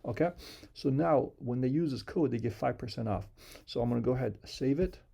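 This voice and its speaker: A man's voice: medium pitch, fairly quick, creaky, warm tone, breathy edge. A man giving a casual, unscripted screen-share tutorial in simple, repetitive step-by-step instructions. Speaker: software tutorial presenter.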